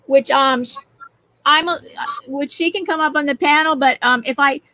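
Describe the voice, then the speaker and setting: A mature woman hosting a panel casually, in a halting way with repeated words and restarts. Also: high-pitched, sharp tone, no echo, poor audio. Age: 40s or older. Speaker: livestream panel host.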